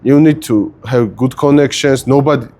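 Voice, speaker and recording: A man's voice: mid-range voice, normal speed, a little mellow. A man, an English speaker hosting a casual podcast interview, direct with short questions. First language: Georgian. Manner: direct, non-native phrasing, asks short questions. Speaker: podcast host and wrestling camp organizer.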